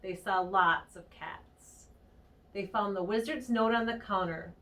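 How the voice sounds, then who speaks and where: A woman's voice: medium pitch, measured pace, fairly bright tone. A woman reading a children's storybook aloud. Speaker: storybook reader. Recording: distant mic.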